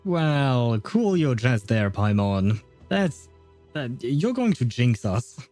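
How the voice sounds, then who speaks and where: A man's voice: medium pitch, big pitch swings, slow pace, darkish tone, soft background music. A man streaming a story game, chatting casually in a rambling, jokey way and laughing at himself. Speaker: gaming streamer. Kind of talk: casual live game commentary.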